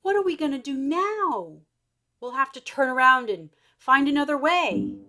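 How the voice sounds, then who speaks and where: A woman voicing an excitable, bossy, easily exasperated female adventurer from a children's storybook, with dramatic emphasis. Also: sits high, normal speed, voice pushed up. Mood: dismayed.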